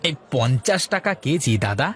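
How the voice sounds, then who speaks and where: A man's voice: medium pitch, big pitch swings, moderate pace, a bit bright, husky. A man playing a humble, cheerful blind village fish seller in a cartoon, with an eager, simple, folksy manner. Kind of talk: cartoon voice acting.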